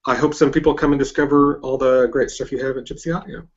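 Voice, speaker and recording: Male host, mid-pitched, conversational and a little hesitant, muffled internet-call audio. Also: steady tone, quick pace. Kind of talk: casual interview chat. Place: online video hangout. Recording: dull lo-fi online call.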